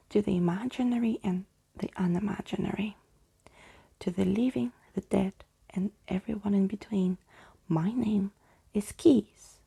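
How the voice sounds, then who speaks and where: A woman speaking softly and intimately in an ASMR bedtime storytelling style, as if to one listener, with a breathy, husky, creaky voice. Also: medium pitch. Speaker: ASMR storyteller reading a book aloud. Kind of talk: soft-spoken ASMR bedtime roleplay and reading.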